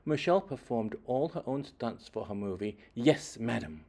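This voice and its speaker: A man reading a children's story aloud expressively, with deliberate phrasing and dramatic emphasis. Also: mid-range voice, animated, darkish tone. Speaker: children's book reader.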